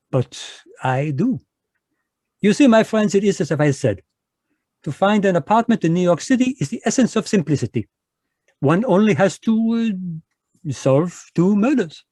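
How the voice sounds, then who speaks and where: A man voicing a fussy, precise Belgian detective, speaking in a measured, lecturing way in a live online radio drama. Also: high-pitched, expressive, a little mellow, no echo. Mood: explaining.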